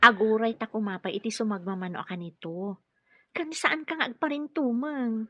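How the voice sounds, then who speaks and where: A woman's voice: on the high side, normal speed, dry recording, lo-fi sound, natural voice. A woman playing a shy, modest young office clerk being courted in an Ilocano radio drama, with an Ilocano accent. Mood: coy.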